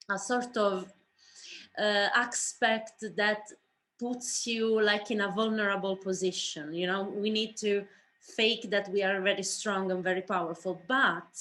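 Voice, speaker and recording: A woman speaking earnestly and haltingly in a personal reflection for an online panel. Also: medium pitch, a bit bright. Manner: earnest, halting, searching for words.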